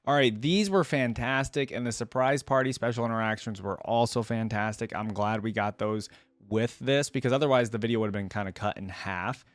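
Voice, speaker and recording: A man's voice: mid-range voice, brisk, no echo. A young adult man giving enthusiastic, informal fan commentary as a reaction video host.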